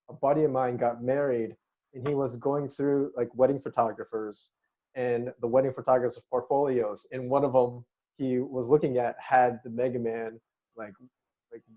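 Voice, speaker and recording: A man who drew the comic, telling relaxed stories at a cast reunion over a video call. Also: medium pitch, normal speed, muffled recording. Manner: relaxed storytelling. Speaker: comic artist and cast member. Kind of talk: casual reunion chat.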